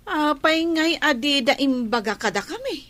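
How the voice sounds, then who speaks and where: A woman acts out a grasping, complaining Ilocano wife in a radio serial. Her voice is high and piercingly bright. She whines and argues for more. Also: lively intonation, moderate pace. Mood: curious, disgruntled. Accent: Filipino, Ilocano. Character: greedy, complaining married daughter-in-law demanding a bigger share. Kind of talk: radio drama acting.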